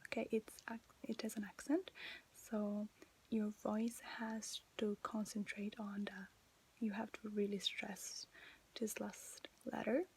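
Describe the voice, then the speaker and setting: A woman with an Italian accent gives an informal, gentle language lesson in a breathy whisper, pausing as she explains. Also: medium pitch, little pitch movement, slight background noise. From Italy. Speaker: language teacher.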